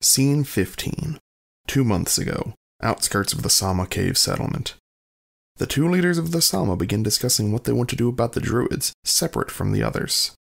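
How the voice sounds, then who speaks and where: A man's voice: medium pitch, lively intonation, normal speed, vocal fry. A man reading scripted narration as the scene announcer of an audio drama.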